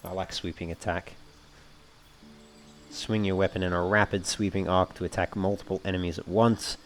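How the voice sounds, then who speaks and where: Low-voiced man narrating a video game. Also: little pitch movement, normal speed, slight background noise. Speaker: gamer narrating a playthrough. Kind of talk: casual let's play commentary, often reading game text aloud.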